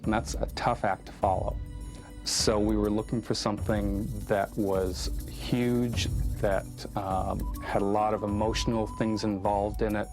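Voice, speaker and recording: A man talks thoughtfully in a documentary interview as a film director. His voice is fairly low and stays even, with emphatic repeats, over clearly audible music. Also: unhurried. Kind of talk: documentary interview, reflective explanation.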